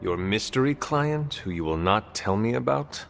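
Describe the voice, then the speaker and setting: An actor playing a solemn, polite supernatural hero in a video game, speaking formally and with restraint. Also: male voice, fairly low pitch, lively intonation, moderate pace, creaky, soft background music. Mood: earnest, curious. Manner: formal, avoids contractions, restrained. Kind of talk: video game cutscene acting.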